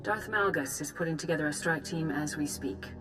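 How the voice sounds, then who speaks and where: A woman's voice: low-pitched, fairly quick, music in the background, close to an everyday voice, rough recording. A woman playing a grave Jedi grand master in a video game, formal, composed and authoritative. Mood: grave authority.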